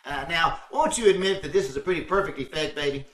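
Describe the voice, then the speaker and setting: A man's voice: on the high side, fast talker, distant mic, close to an everyday voice. A man playing a blustering, hapless husband with folksy, slangy wisecracks in old-time radio comedy style. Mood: excited, proud. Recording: roomy, noisy online call, off mic.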